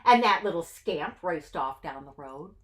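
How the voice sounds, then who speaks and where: Slow, animated woman reading to children. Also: sits low. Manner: expressive, asks questions of young listeners. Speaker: children's story reader.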